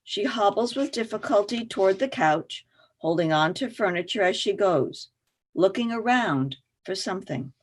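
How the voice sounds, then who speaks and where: A woman narrates a play, reading its stage directions in a scripted style. Her voice is mid-pitched and moves with very animated intonation. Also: normal speed.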